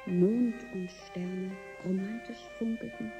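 A woman's voice: medium pitch, steady tone, slow pace. Music plays behind a wistful teenage girl in a radio play. She is romantic and brooding, speaking in poetic turns, and the sound is slightly dull. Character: wistful, romantic seventeen-year-old girl of the future. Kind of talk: German radio drama acting.

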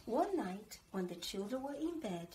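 A middle-aged woman reading a children's story aloud in an expressive, dramatic way. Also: fairly high pitch, background noise. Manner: slow, animated, dramatic. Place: living room, on a sofa.

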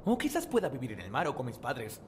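Man acting excitable, anxious cartoon office dad. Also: mid-range voice, fast talker, very noisy. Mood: despairing. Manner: excitable, panicky, swinging into shouts. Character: anxious, hapless male office IT worker and dad. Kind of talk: cartoon fan dub acting. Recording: music behind the voice.

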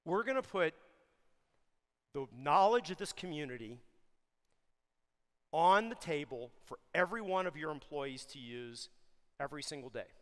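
A middle-aged man delivering an energetic, emphatic conference keynote on a large stage, pressing hard on key words as his pace rises and falls. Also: fairly high pitch. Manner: energetic, emphatic, speeds up and slows down for stress. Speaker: keynote speaker for an industry association. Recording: long hall reverb of a big venue.

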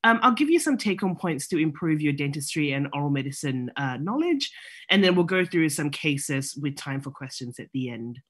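A woman's voice: low voice, very animated, moderate pace, slightly rough. A woman in her thirties from Singapore, with an accent shaped by later years in Australia, giving a friendly, explanatory slide talk as a guest specialist over a video call, with frequent ums. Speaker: oral medicine specialist guest speaker. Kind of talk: online presentation with slides.

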